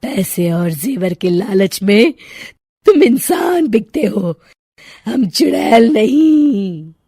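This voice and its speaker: Woman voicing a menacing witch, loud and drawn-out. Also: mid-range voice, sing-song, husky, dry recording, studio quality, heavily acted. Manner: menacing, taunting, drawn-out delivery. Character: dangerous menacing witch with big teeth, out hunting.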